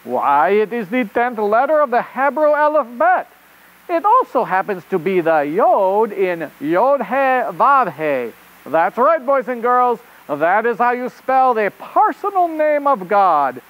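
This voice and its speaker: A performer voicing an excitable, easily flustered rabbit reporter puppet in a children's show, with a theatrical delivery and a put-on French accent. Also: medium pitch, measured pace, rough recording. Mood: excited. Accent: fake French. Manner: theatrical, exclamatory.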